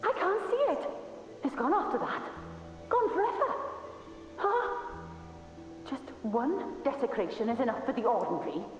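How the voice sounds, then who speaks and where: A woman's voice: high-pitched, huge pitch swings, normal speed, long pauses, faint music behind. An actress playing an intense, proud and anguished young woman warrior in a video game. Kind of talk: dramatic video game acting. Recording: echoey hall, distant and muffled.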